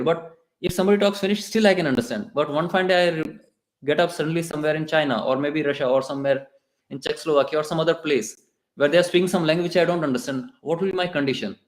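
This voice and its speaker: A man with an Indian English accent lectures in a temple hall, a bit high in pitch and chatty, often pausing and restarting his sentences. The room around him rings with echo. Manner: conversational, with hesitations and restarts. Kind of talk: devotional lecture.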